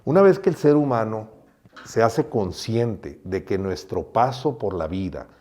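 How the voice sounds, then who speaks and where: A middle-aged man from Mexico with a low, mellow voice, speaking as a doctor in an interview. He talks earnestly and unhurriedly, often repeating words as he restarts his phrases. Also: animated, a little slow. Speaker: guest doctor.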